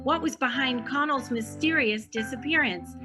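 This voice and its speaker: A woman's voice: high voice, moderate pace, music in the background, close to an everyday voice. A woman playing a self-important radio announcer reading a theatrical scripted teaser. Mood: grand, teasing.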